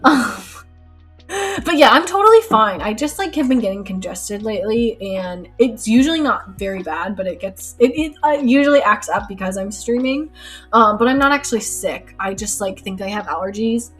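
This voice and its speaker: A woman's voice: on the high side, expressive, normal speed. A young woman streaming live while she paints, chatting casually with lots of fillers, her nose stuffed up. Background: soft lo-fi music.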